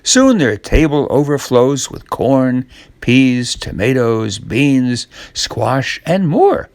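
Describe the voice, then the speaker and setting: A man narrating a scripted children's story, reading aloud in a measured, expressive way. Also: medium pitch, dry recording.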